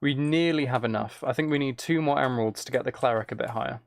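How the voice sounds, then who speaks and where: Musing man with creaky voice, gaming. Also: mid-range voice, quick pace, dry recording. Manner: musing, self-talking, hesitant planning. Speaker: gaming streamer. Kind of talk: casual gameplay commentary, thinking aloud. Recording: keyboard clicks and typing noise. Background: faint noise, computer keyboard and mouse clicks.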